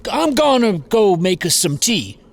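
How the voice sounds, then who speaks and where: A man playing a gruff, kindly older uncle in an audio drama, folksy and plain-spoken. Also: high voice, very animated, measured pace, full character voice. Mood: concerned, gentle.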